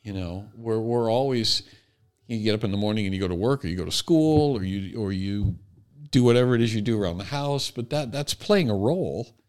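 Halting, thoughtful man, lowish voice. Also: a little mellow, smooth. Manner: halting, thoughtful, repeats phrases. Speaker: podcast co-host. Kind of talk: casual reflective discussion.

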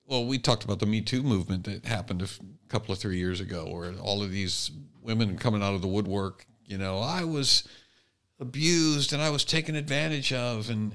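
A man's voice: fairly low pitch, steady tone, normal speed, fairly bright tone. A man co-hosting a podcast, talking casually and bluntly, often voicing hypothetical quotes to make his point. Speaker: podcast co-host. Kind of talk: casual opinionated discussion.

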